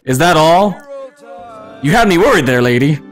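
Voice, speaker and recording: Man putting on a blunt, gruff big ogre. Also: on the high side, big pitch swings, moderate pace, soft background music, voice pushed up. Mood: reassuring. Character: gruff big green male ogre, blunt but helpful. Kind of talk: dramatic fan fiction reading.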